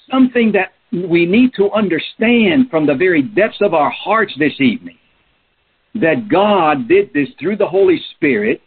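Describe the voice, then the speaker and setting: Husky preacher on a tinny line. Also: male voice, fairly high pitch. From Peru. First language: Spanish. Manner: earnest, emphatic, asks rhetorical questions. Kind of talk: sermon. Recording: tinny phone-like sound.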